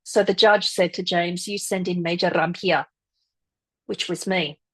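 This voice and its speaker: A woman speaker giving a personal storytelling talk in a measured, articulate and dramatic way. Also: on the low side, steady tone, husky. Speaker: guest speaker, former military officer.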